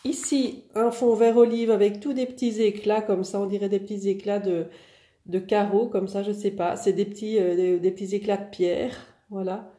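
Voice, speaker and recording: A woman's voice: mid-range voice, level tone, moderate pace, dark tone, echoey room. A woman with a head cold presenting new craft paper collections for her online shop in a chatty way, with many fillers. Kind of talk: casual product showcase.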